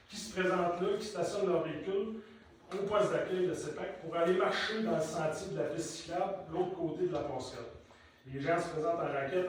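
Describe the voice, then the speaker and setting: A Québécois man speaking as spokesman for cottage owners, reading a prepared, formal statement at a council meeting, methodical, with some hesitations. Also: a little high. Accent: Québécois French. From Quebec. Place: municipal council meeting room. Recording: echoey distant room mic, faint noise.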